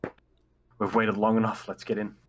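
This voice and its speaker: A man's voice: on the low side, monotone, fairly quick, slight background noise. A man talking casually as a player in a tabletop game.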